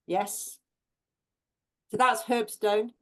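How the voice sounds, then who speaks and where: A woman's voice: mid-range voice, steady tone, very slow, a bit bright. A woman who gardens for a living talks about her plants over a video call. She is chatty and easygoing, and her sentences break off and restart around little ums. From England. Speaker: professional gardener, guest.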